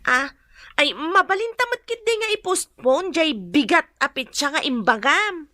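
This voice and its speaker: A woman with an Ilocano accent playing a brisk, pressing daughter who collects debts in a radio drama. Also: sits high, sing-song, pushed and bright, no echo, full character voice. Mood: insistent. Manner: pressing, questioning.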